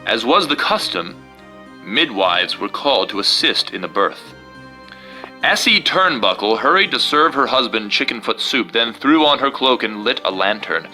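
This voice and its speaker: Man, storybook host, animated intonation, very bright tone, theatrical reader, faint background music. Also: medium pitch, moderate pace. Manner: theatrical, suspenseful delivery when reading. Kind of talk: casual chat and dramatic storybook reading.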